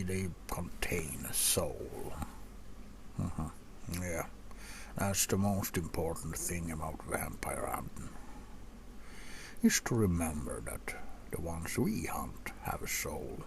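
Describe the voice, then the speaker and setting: A man playing a gruff, seasoned old vampire hunter in a softspoken ASMR roleplay, half-whispering in a folksy, rambling way to a rookie. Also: exaggerated voice. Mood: musing, instructive. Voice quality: low, gravelly, breathy half-whisper. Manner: unhurried, folksy, rambling with musing pauses.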